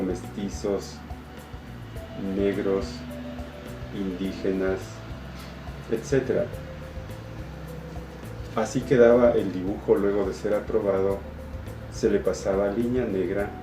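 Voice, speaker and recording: An Ecuadorian man giving an informal, somewhat halting explanation of his work as an illustrator, often repeating words. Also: fairly low pitch. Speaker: comic illustrator. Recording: roomy echo with music behind. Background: background music.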